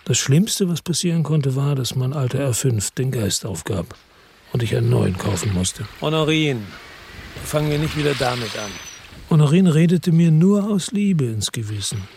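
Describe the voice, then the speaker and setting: A man's voice: mid-range voice, expressive, moderate pace, vocal fry, a little mellow, a little hiss or hum. An actor playing a world-weary middle-aged ex-cop who narrates his story in the first person in a radio drama, laconic and reflective.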